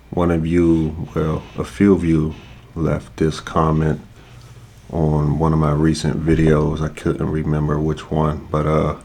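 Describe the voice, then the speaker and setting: A man's voice: rumbling low, gravelly. A man hosting a reaction video in a loose, casual way, with a stuffed-up voice from allergies. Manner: relaxed, loose, with frequent fillers.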